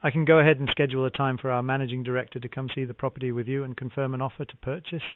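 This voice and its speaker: A man's voice: medium pitch, little pitch movement, very fast, background noise. A man working as a real estate phone agent, speaking politely in a scripted sales-call style with formulaic acknowledgements. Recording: narrow, tinny phone line.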